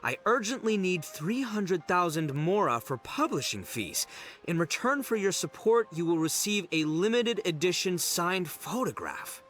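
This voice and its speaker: A man's voice: sits high, very animated, unhurried, fairly bright tone, soft background music, exaggerated voice. A man voicing a brash, dim, big-hearted young gang boss who blusters and bumbles theatrically in a video game. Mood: declaiming.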